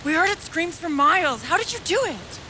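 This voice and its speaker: An actress playing an earnest, hesitant villager seeking help in a video game, speaking politely. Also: female voice, very high-pitched, very animated, fairly quick. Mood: awed, grateful. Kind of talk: video game cutscene acting. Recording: clear rain noise and hiss behind.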